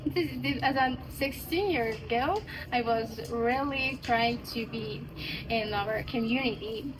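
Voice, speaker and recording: A teenage girl from Afghanistan answering interview questions in English, hesitant, with many fillers and restarts. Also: on the high side. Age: teens. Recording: distant mic with clear background noise.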